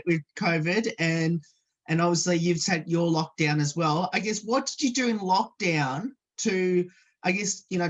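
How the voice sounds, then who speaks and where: Rambling radio sports host. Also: medium pitch. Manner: rambling, keeps repeating "of course" and "obviously". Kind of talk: radio interview hosting.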